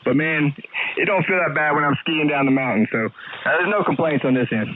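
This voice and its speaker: A man's voice: fairly high pitch, a little fast. An American man calling in over two-way radio, chatting casually in a plainspoken, hesitant way. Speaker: amateur radio caller. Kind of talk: casual ham radio chat. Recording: narrow tinny ham radio signal, static.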